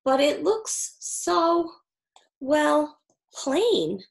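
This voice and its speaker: A woman's voice: high-pitched, big pitch swings, extremely slow, gravelly, echoey room, pitched above the performer's own voice, heavily acted. Woman reading as an earnest little storybook hermit crab. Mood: pensive. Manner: courteous, earnest storybook delivery. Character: small gentle polite hermit crab, earnest and admiring.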